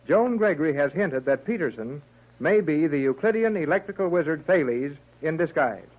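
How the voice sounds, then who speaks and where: An American man narrating an old-time radio adventure serial in a scripted announcer style. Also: a little high. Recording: tinny, thin old radio recording.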